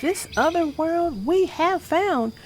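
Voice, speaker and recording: A woman reading a children's book aloud in an animated, expressive, sing-song way. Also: extremely high pitch, slow, music in the background. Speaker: storyteller.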